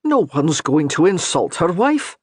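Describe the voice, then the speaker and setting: A woman voicing a stern grown male clan laird, measured and warning, in a husky voice. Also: low voice, very animated, no echo, studio quality, exaggerated voice.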